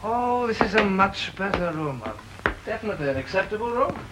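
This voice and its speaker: A man's voice: high-pitched, lively intonation, fairly quick. An actor playing a cheeky, teasing young man in clipped 1930s film style, on a hissy old soundtrack. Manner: breezy, mocking, clipped upper-class delivery.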